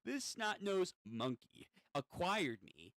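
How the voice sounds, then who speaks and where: A man's voice: high-pitched, huge pitch swings, takes it slowly, fairly bright tone, no echo, pitched above the performer's own voice, heavily acted. Comic-reading role: man as a little inner-demon gremlin, cocky and conniving, stretching words out tauntingly in a dry space. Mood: grudging, scornful.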